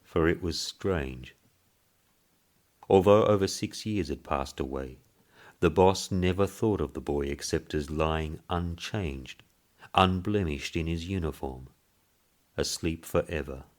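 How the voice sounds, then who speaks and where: A man narrating a literary short story in a measured, deliberate way. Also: very deep voice. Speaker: story reader.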